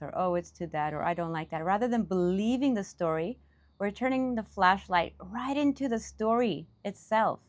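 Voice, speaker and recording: A woman with a mid-range voice guides a meditation. She talks off the cuff as a teacher would, in reflective phrases. Her delivery halts and pauses as she goes. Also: medium pitch.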